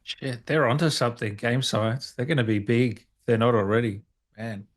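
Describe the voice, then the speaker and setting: A man with an average-pitched voice hosts a relaxed gaming chat. He talks informally, like one mate to another, and readily agrees with his guest. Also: moderate pace. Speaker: host, interviewer. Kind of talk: casual gaming interview chat.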